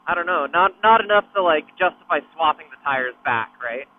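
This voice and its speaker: A man's voice: a little high, expressive, fairly quick. A man chatting casually during a ride, heard over a motorcycle helmet intercom. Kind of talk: casual chat while riding. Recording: muffled intercom radio, faint noise.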